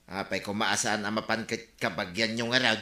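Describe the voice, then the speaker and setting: A man's voice: lowish voice, steady tone, moderate pace, sharp tone. A man playing a chatty village neighbour with an Ilocano accent in a radio comedy drama. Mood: inquiring. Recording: roomy, echoing studio sound.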